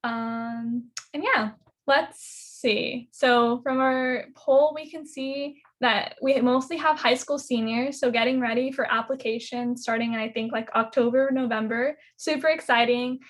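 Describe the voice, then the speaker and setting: A woman's voice: fairly high pitch, steady tone, a little slow. A young woman moderating a virtual student panel over a video call, upbeat and casual with many fillers.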